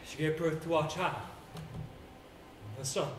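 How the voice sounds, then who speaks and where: A subdued gentleman storyteller, played by a man, quietly giving a sombre stage monologue. The fairly high voice is halting and distant, with echo and clear background noise. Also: fairly even intonation, close to an everyday voice. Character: subdued gentleman narrator finishing his sad tale.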